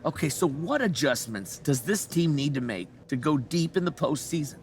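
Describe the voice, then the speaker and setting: Guy acting nosy insistent reporter in a video game, highish voice, bit of background noise. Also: expressive, moderate pace, close to an everyday voice. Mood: needling. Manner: probing, insistent. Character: pushy, needling male press conference reporter. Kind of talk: scripted press questions in a video game.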